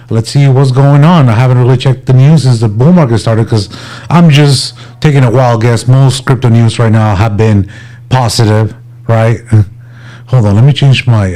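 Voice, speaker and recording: A man's voice: mid-range voice, dark tone. A man hosting a livestream, chatting casually and informally with his viewers as if they were buddies. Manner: informal, laid-back, addresses viewers as buddies. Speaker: livestream host.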